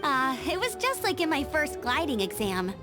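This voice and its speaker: A woman's voice: high voice, big pitch swings, moderate pace. A woman voicing an upbeat, restless young scout in a video game, chirpy and chatty. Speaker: game character. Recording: game audio with music behind.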